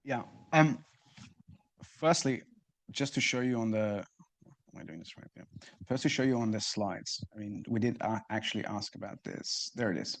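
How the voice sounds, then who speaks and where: A man with a fairly low voice speaks as a researcher at a seminar. He is hesitant and searches for his phrasing, often repeating words before he moves on. The delivery is that of an academic explaining and answering questions. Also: normal speed, gravelly. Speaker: research fellow presenting seminar. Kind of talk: seminar talk and audience Q&A.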